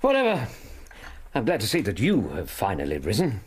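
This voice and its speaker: An actor playing a good-humoured, loyal male doctor in a radio drama, bantering gently. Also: on the low side, wildly swooping pitch, normal speed, noisy background.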